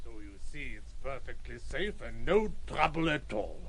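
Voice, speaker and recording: An actor playing a wheedling, exasperated conspirator with a German accent in a radio drama. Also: male voice, mid-range voice, sing-song, normal speed, fairly bright tone. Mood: sly, persuading.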